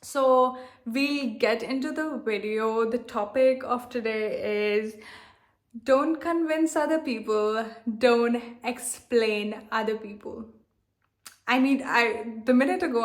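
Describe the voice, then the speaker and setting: A young woman from India with a softened, globalized Indian accent, talking candidly and defiantly straight to her viewers, repeating herself and leaning on "like". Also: fairly high pitch. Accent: Indian, softened toward a global accent. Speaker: self-love coach and channel host. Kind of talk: direct-to-camera vlog monologue.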